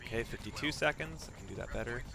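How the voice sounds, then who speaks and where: A man's voice: medium pitch, expressive, fast talker, vocal fry. A man giving live speedrun commentary as he plays, narrating his own moves, repeating phrases and using mild exclamations instead of swear words. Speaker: video game streamer and speedrunner.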